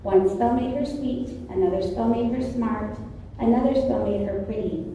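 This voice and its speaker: A woman's voice: on the high side, moderate pace, warm tone. A female narrator reading a scripted storybook tale for reader's theater. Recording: very echoey distant room, faint noise.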